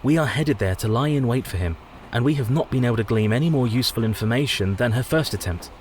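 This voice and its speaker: An actor playing a protective, troubled young Victorian husband in a radio play, formal and earnest. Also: male voice, medium pitch, animated, fast talker, a little mellow, slight background noise, lightly acted. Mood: worried.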